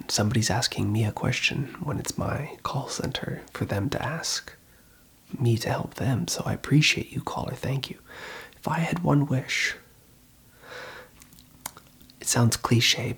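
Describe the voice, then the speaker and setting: Breathy whispering ASMR host. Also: mid-range voice. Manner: reassuring, unhurried advice-giving. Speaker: ASMR host playing a call centre phone counsellor.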